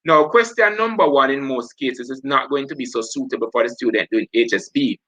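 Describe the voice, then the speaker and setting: A man's voice: medium pitch, fairly quick, bright, forceful tone, poor audio. A man from Jamaica with a Jamaican accent, hosting a live online revision session and talking through past papers as he goes. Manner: talks while working through papers, self-correcting.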